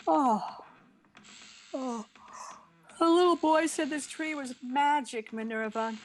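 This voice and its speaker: A woman's voice: high-pitched, slow, slight background noise. A woman playing a wry, wisecracking single woman with dry, sardonic delivery in an old-time radio comedy. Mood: drowsy wonder. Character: Miss Brooks, wry, wisecracking single woman, a cat owner.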